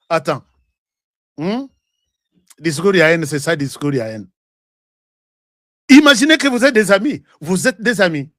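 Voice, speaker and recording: A man's voice: sits high, a bit bright, no room sound, clean studio sound. A man with a Congolese accent giving heated political commentary, hammering points with repeated words and rhetorical questions. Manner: emphatic, repeats himself, asks rhetorical questions; switches between French and Lingala. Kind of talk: political commentary with played clips.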